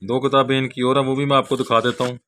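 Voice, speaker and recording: Pakistani man showing products over clear noise. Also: mid-range voice, flat delivery, quick pace, a bit bright. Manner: repeats a polite verbal tag at sentence ends. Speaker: bookseller presenting products. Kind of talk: casual product overview, unscripted.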